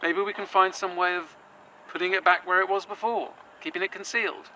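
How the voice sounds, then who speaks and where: An anxious, earnest young man, played by a male actor in an audio drama, speaking. His voice sits high and very bright, and he talks hesitantly with frequent fillers. Faint outdoor noise hums behind him. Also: steady tone, brisk, poor audio.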